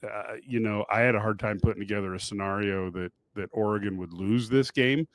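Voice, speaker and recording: A lower-pitched man co-hosts a sports podcast and gives a candid, reasoned take on the game. His delivery is even and considered, recorded close and dry. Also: fairly quick, no room sound. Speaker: podcast co-host.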